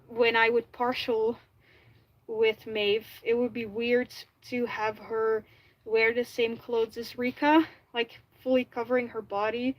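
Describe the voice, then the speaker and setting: A woman with a Flemish accent, native Dutch speaker, chatting casually on a livestream and often correcting herself mid-sentence. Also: fairly high pitch. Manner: chatty, restarts and self-corrects, frequent "like". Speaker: livestreamer making a fursuit.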